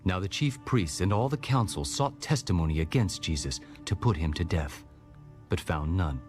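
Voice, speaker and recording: A low-pitched man narrates scripture with solemn gravity. His storytelling is measured and scripted, as in an audio Bible. Music runs clearly behind his voice. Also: expressive, a little mellow.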